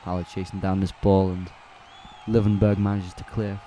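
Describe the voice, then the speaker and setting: A man with a lowish, mellow voice gives casual live play-by-play of a football match for a fan stream. He talks in a relaxed, chatty way, correcting names and answering viewers' questions as he goes. A faint hiss of noise sits behind him. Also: moderate pace. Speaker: match commentator on a fan watch-along stream.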